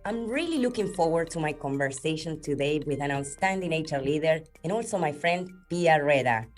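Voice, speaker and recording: A woman's voice: sits low, lively intonation, fairly quick, faint music behind. A woman hosting a podcast interview, asking questions and affirming her guest's answers. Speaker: podcast host. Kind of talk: hosted interview.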